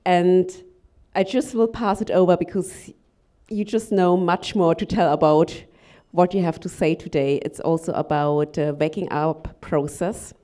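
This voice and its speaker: A woman introducing speakers on a conference stage in a polite, halting, formal way. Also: on the low side, fairly even intonation, dark tone. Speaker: conference presenter introducing guests.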